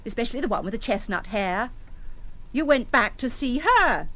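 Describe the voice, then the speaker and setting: An anxious, probing British wife in a radio drama. Her voice is high and very animated, pressing each precise question with suspicion and accusation. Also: moderate pace. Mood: accusing.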